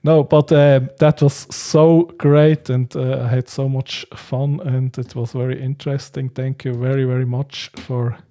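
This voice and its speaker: A man hosting a casual livestream chat, speaking hesitantly and haltingly with frequent chuckles. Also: medium pitch, flat delivery, mellow. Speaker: livestream host.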